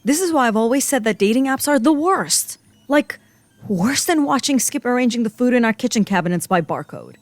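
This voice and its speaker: A woman playing a sarcastic, cynical agent in a comedy audio drama, blunt and deadpan. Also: a little high, fairly quick, no echo.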